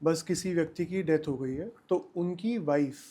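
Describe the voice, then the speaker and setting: A man's voice: fairly high pitch, normal speed, mellow. A man giving explanatory answers as a legal expert on a podcast, emphatically repeating key points and mixing in English terms.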